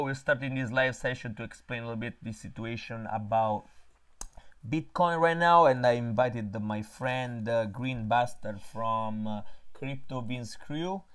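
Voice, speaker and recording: A man from Italy with an Italian accent, hosting a casual live stream about crypto trading and pausing often to fill gaps. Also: mid-range voice. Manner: halting, frequent filler pauses. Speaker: crypto trading channel host.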